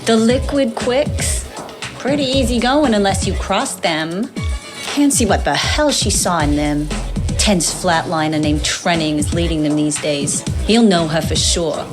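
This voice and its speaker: Brief: woman voicing languid, world-worn flirt in a game bar, unhurried, music behind. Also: lowish voice, close to an everyday voice. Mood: bitter, jaded. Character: jaded flirtatious female barfly, bitter, streetwise. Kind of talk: video game cutscene acting.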